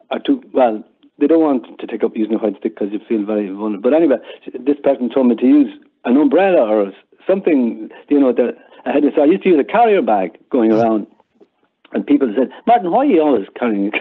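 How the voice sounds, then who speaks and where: A man's voice: medium pitch, big pitch swings, fast, slightly rough. An older man with an Irish accent speaks in a casual, conversational way, as if reminiscing in an interview. He often repeats words as he goes. His voice comes through a muffled, narrowband line that sounds like a phone call. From Ireland. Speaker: interview guest.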